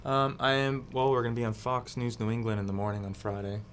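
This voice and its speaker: A man, a musician guest on a radio show, chatting in a relaxed, offhand way about his gigs. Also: mid-range voice, fast, slight background noise. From Massachusetts, United States. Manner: relaxed, laid-back, offhand. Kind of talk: casual interview chat.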